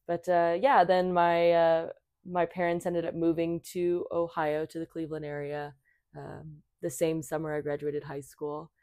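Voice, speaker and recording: Young woman guest, low voice, dry close sound, relaxed chatter with fillers. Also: measured pace, clear, resonant tone, no echo. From Thailand. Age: 20s. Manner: chatty, many fillers and restarts. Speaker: podcast guest. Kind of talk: casual conversation.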